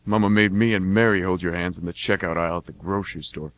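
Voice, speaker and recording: A man's voice: sits low, steady tone, fast. A man playing a laid-back mercenary older brother in an amateur radio drama, with a casual, folksy delivery. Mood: fondly teasing.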